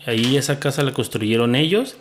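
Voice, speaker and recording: A young man with a central Mexican accent talks through his childhood at an easy, unhurried pace. He sounds relaxed and says "este" often as he gathers his thoughts. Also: mid-range voice, a little hiss or hum. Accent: central Mexican Spanish. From State of Mexico. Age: young adult. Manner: relaxed, uses many fillers like "este". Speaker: podcast guest. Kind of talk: casual interview storytelling.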